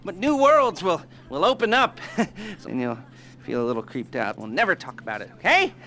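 A man voicing a creepy middle-aged male heist planner in a video game, with a leering, teasing, drawn-out delivery. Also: high-pitched, very animated, sharp tone, a little hiss or hum, full character voice.

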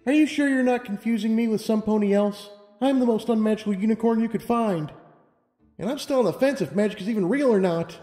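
A man's voice: high-pitched, a little fast, warm tone, voice pushed up, lightly acted. A man voicing a young female unicorn who is skeptical, blunt and questioning. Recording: long hall reverb over music.

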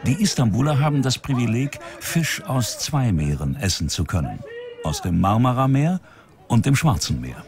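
A man's voice: medium pitch, big pitch swings, moderate pace, crowd noise. A man with a standard German accent narrating a television documentary in a scripted style.

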